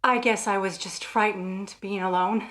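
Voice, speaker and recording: A woman's voice: mid-range voice, fairly even intonation, normal speed, a bit bright, lightly acted. A woman playing a frightened, desperate wife in an old-time radio melodrama, urgent and hesitant, as if fearing for her life. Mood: shaken, subdued. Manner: breathless urgency, hesitant stammering.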